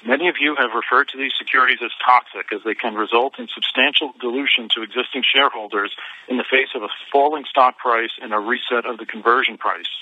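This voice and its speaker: A man reading a formal, measured prepared statement as a company chief executive on a conference call. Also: mid-range voice, bright, forceful tone. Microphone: telephone. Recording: dull telephone conference line.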